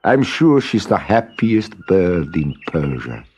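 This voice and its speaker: A man's voice: deep voice, sing-song, takes it slowly, darkish tone, faint music behind, exaggerated voice. A man voicing a rich merchant, fond and puzzled, in earnest storybook dialogue. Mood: self-satisfied. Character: rich Persian merchant, fond and puzzled male bird owner.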